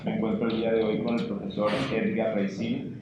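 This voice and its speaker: A male interviewer speaks in a fairly low voice. He is polite and a little hesitant as he puts his questions. The sound is muffled, with clear room echo. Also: little pitch movement, a little fast. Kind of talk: informal interview hosting. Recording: muffled, echoey, noisy room recording.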